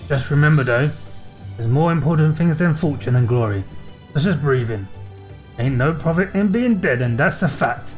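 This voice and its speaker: A man's voice: fairly high pitch, lively intonation, moderate pace, a little mellow, soft background music, natural voice. A man voicing a folksy, worldly seafaring merchant from a fantasy game, with salty, colloquial talk. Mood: folksy, advising. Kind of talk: reading game dialogue aloud.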